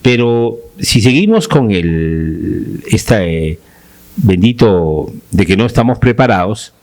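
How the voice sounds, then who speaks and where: A man's voice: on the low side, expressive, takes it slowly, a little mellow. A man from Peru debating on a talk panel, pressing his point with rhetorical questions.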